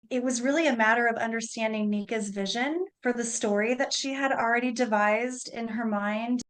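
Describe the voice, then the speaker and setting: A woman with a slightly high voice talks as a guest in a radio interview. She is conversational, earnest and enthusiastic, explaining creative work. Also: poor audio. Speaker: interview guest, opera librettist and singer.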